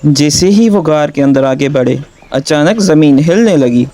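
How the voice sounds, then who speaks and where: A man's voice: fairly high pitch, lively intonation, unhurried, warm tone, no echo. A man narrating a scripted story for children.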